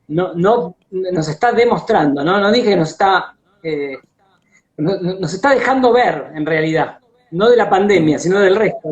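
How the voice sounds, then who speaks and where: An Argentine man hosting a casual, enthusiastic conversation, speaking haltingly and repeating words as he thinks aloud over a video call. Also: on the high side. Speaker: interview host. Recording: dull lo-fi live-stream audio.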